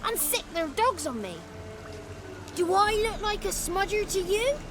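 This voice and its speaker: High-pitched cheeky little swamp creature. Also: female voice, lively intonation, measured pace, noisy background. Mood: indignant, theatrical. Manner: florid wordplay, boastful. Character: cheeky, theatrical, talkative little swamp creature, male, childlike. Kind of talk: video game character acting.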